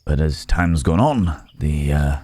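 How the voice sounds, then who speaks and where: A very deep, dark-toned cursed werewolf played by a man in an intimate ASMR roleplay. He is weary and courteous, choosing old-fashioned words slowly and hesitantly, in a dry, close sound. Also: animated, no room sound, exaggerated voice. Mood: resigned, sombre. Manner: hesitant, pensive, old-fashioned formal phrasing.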